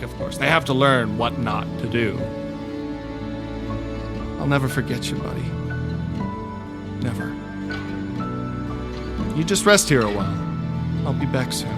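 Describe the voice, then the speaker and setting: Slow, wry young wizard hero played by a man. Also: medium pitch. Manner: casual, halting, wry. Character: goofy, sarcastic, soft-hearted young wizard hero. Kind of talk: comedic fantasy audio drama.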